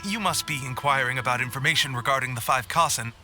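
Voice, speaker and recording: A man's voice: mid-range voice, fast, over music, natural voice. An actor playing a courteous, easily flustered male editor in a video game, deferential and earnest.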